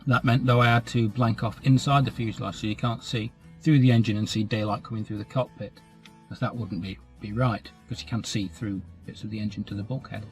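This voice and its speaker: A man's voice: on the low side, fairly even intonation, a little fast, faint music behind. A British man with a cold, chatting casually about his scale model hobby in a rambling, self-deprecating way. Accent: British English. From England. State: has a cold, slightly stuffy. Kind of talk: casual update chat. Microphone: camera mic.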